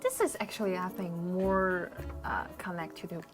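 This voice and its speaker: A woman's voice: lowish voice, a little slow, over loud music. A woman from China hosting a casual chat and quizzing her friends with a laugh. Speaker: host.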